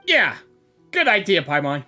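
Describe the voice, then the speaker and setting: A woman's voice: low voice, big pitch swings, unhurried, pushed and bright, faint music behind. A woman giving casual, informal reaction commentary as she plays through a game, exclamatory and giggly. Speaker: gaming reaction streamer.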